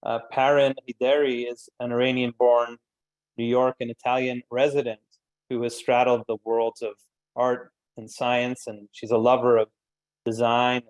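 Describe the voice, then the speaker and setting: A man hosting a panel discussion, introducing guests and putting questions in measured, halting phrases. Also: medium pitch, flat delivery, a little mellow, poor audio. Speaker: panel host and moderator.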